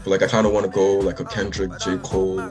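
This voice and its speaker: A man's voice: sits low, completely flat delivery, fast, over music. A young man from the Bahamas, a musician talking casually in an interview, rambling with lots of restarts. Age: young adult. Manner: rambling, many likes and restarts. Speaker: musician guest.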